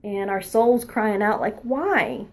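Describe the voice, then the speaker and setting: A woman past her twenties giving a spiritual oracle card reading, earnest and encouraging, sometimes dropping into a soft whisper. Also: medium pitch, takes it slowly. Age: adult past her twenties.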